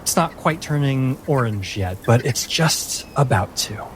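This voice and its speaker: A man's voice: medium pitch, expressive, unhurried, slight background noise, low-quality recording. A man narrating a tabletop game as game master, lingering dramatically on descriptive words, with music underneath.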